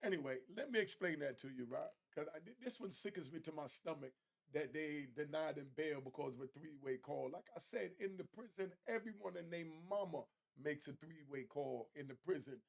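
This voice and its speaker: A man's voice: fairly high pitch, animated, a little fast, vocal fry. A middle-aged man giving casual, slangy commentary as a streetwise host who explains things conversationally.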